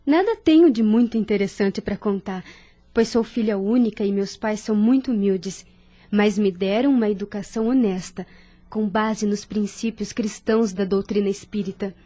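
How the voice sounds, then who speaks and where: A woman playing a kind, devout young nurse in an audio drama, with a Brazilian accent and a gentle, earnest manner. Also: medium pitch, normal speed, natural voice. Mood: warm, grateful.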